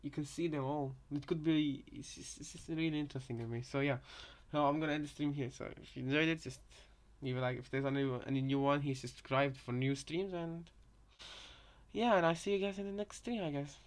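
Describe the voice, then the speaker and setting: A man with a slightly high voice talks casually as a live streamer. He rambles through his thoughts in a hesitant way, circling back and repeating phrases as he goes. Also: a little fast. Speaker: live streamer reacting to game content. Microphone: headset.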